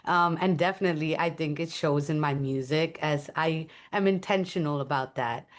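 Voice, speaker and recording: A woman's voice: low-pitched, normal speed. A woman from Thailand, an interview guest and musician, speaking earnestly and reflectively about her life. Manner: earnest, reflective, with soft laughs. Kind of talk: conversational interview.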